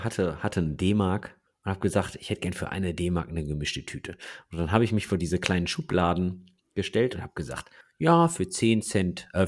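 German guy co-hosting a tech podcast, lowish voice, easygoing chatter. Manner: lively, chatty storyteller. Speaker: podcast co-host. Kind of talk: casual podcast chat.

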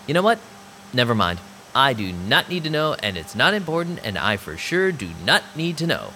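A man's voice: fairly low pitch, fairly bright tone, a little hiss or hum. An actor playing an anxious, wisecracking vampire DJ, telling his story in casual slang for an audio drama. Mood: nervously agreeable. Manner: casual, slangy, self-doubting. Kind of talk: first-person audio drama narration and dialogue.

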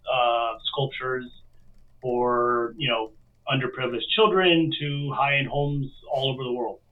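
A man's voice: mid-range voice, steady tone, measured pace. Miami guy being interviewed, casual storytelling, sounds far from the mic on a tinny phone-like call. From Miami, United States. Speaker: interview guest, artist. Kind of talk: casual chat, telling own story. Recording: distant, thin phone-like call audio.

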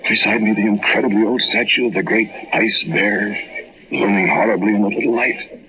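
A haunted, fearful man trapped in a cave, in a 1940s radio horror play. His voice is very deep and forceful, halting and dramatic, on a muffled old broadcast recording. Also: steady tone. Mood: grave narration. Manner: dramatic, halting, stammering when alarmed. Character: frightened, awestruck man trapped in a cave, narrating his ordeal.

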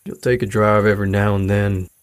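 An actor playing a troubled, frustrated middle-aged father in a naturalistic audio drama, speaking haltingly and downcast. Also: on the low side, flat delivery, smooth, no room sound, clean studio sound, lightly acted. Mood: dejected, frustrated. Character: weary, troubled, frustrated widowed father of a teenager.

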